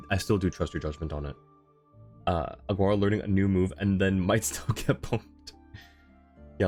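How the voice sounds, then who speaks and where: A man livestreaming a game with casual, thoughtful commentary as he chats with viewers, often pausing to find his words. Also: sits low, a little mellow. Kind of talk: casual live commentary, answering chat.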